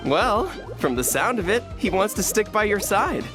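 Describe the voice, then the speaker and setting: A woman playing a welcoming, gently indulgent resort receptionist in an animated video game. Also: medium pitch, moderate pace, music in the background, lightly acted. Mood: gently amused.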